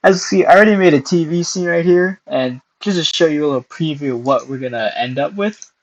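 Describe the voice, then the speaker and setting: A young man hosting a casual screen-recorded software tutorial, hoarse and losing his voice, clearing his throat often between steps. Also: fairly high pitch, a little fast, low-quality recording. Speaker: tutorial host.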